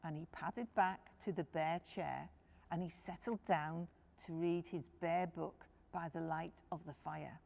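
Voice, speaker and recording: Slow, creaky-voiced woman storyteller. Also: deep voice, fairly even intonation, darkish tone. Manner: simple phrasing, addressing young listeners directly. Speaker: children's storyteller. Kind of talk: picture-book storytelling to young children.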